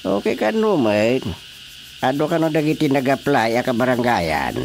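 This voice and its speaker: A man playing an excitable village schemer in a radio drama comedy. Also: mid-range voice, moderate pace, gravelly, a little hiss or hum. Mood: animated.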